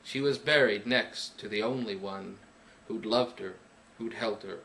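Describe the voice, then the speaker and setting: A man reading his own poetry in a dramatic narrating style, with measured, emphatic phrasing and pauses. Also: fairly low pitch, a bit bright, a little hiss or hum, rough recording. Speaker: poet reading own work.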